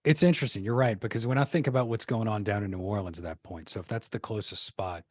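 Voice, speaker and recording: A man's voice: fairly low pitch, fast, a little mellow, no room sound, clean studio sound. A man, a podcast guest, telling history in a casual, rambling way full of fillers and restarts. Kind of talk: casual conversational storytelling.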